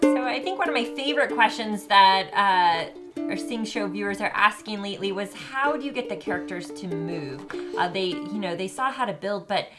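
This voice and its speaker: A woman's voice: medium pitch, lively intonation, normal speed, over music. A woman co-hosting a children's show, explaining crafts in a friendly, encouraging way. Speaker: co-host. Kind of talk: casual how-to chat.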